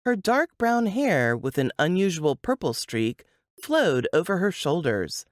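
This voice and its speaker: A woman's voice: fairly low pitch, big pitch swings, a little slow, no room sound, clean studio sound. A woman reading scripted fiction narration as an audiobook narrator.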